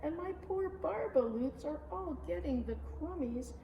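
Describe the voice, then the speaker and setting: A woman's voice: high-pitched, expressive, measured pace, creaky, dull audio, voice pushed up, heavily acted. A woman voicing a small, indignant old forest creature from a storybook, scolding and pleading with emphasis. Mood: reproachful, sad. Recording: roomy, distant mic, faint noise.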